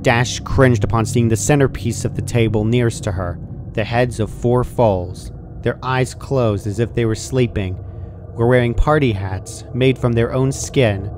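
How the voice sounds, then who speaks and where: Story narrator over clear background noise. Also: medium pitch, a little slow. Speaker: narrator reading fan fiction. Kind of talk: scripted horror story narration.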